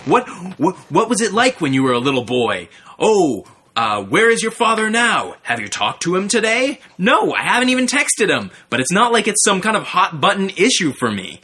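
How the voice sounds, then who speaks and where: A man co-hosting a comedy podcast, chatting casually in an animated, mock-earnest way. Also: high-pitched, moderate pace, husky. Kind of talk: casual improvised banter.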